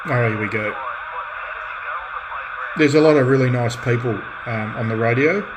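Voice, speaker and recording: A middle-aged man in a relaxed off-the-cuff chat as a radio hobbyist, speaking in a halting, reflective way and often restarting his phrases. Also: mid-range voice, noisy background. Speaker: radio hobbyist and restorer presenting own channel.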